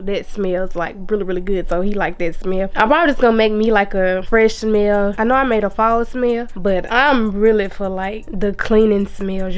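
Stuffy-nosed woman talking to her phone cam at home, chatty, sick with a cold. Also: mid-range voice. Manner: chatty, direct address to viewers. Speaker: vlogger. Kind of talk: casual vlog talk to camera. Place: bright home living room and bedroom. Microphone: handheld camera at arm's length.

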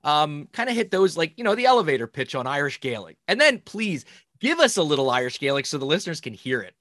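A man's voice: fairly high pitch, sing-song, fast, fairly bright tone, dry recording, clean studio sound. A man hosting a podcast, asking questions casually, with many likes and ums. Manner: conversational, lots of "like" and "um". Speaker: podcast host.

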